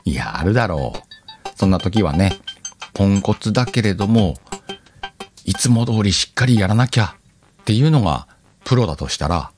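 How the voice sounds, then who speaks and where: A man's voice: deep voice, lively intonation, moderate pace, long pauses, darkish tone, soft background music. A man hosting a casual solo audio show, reading out listener letters and chatting to himself with self-deprecating banter and frequent laughter.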